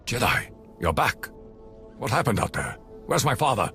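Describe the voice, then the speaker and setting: A man's voice: medium pitch, very animated, normal speed, fairly bright tone, faint music behind. An actor playing a bitter, grieving village man in a video game, speaking with intense, accusing emotion. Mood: anguished anger.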